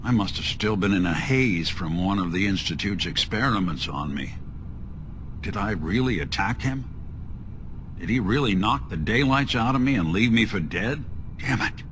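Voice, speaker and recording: An actor playing a weary, wry synthetic detective in a video game, with hardboiled, slangy phrasing. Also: male voice, on the low side, expressive, moderate pace, fairly bright tone, a little hiss or hum. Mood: troubled. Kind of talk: video game dialogue acting.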